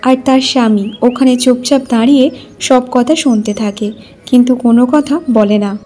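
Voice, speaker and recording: A woman's voice: fairly high pitch, normal speed, mellow. A woman narrating a scripted cartoon story as the storyteller.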